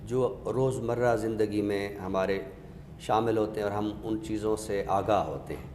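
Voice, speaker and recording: A man's voice: fairly low pitch, moderate pace, a little mellow. A man explains literary ideas step by step with examples, in a didactic way, as an expert guest. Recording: echoey reverberant room with background noise.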